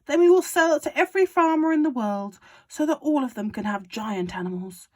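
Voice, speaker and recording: A woman reading aloud and voicing an excitable, bossy father who shouts his big plans. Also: a little fast. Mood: overexcited. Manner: shouting, overexcited, booming. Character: excitable bossy father, middle-aged man.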